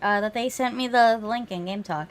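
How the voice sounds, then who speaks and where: A woman's voice: on the high side, brisk. A woman hosting a livestream readthrough in casual chat, with some phlegm in her throat. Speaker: streamer hosting a visual novel readthrough.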